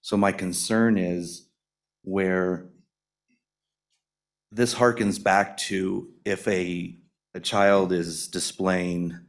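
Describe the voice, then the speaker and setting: A retired teacher, an older man, giving deliberate public testimony with many pauses and ums. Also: low-pitched, level tone. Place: legislative committee hearing room.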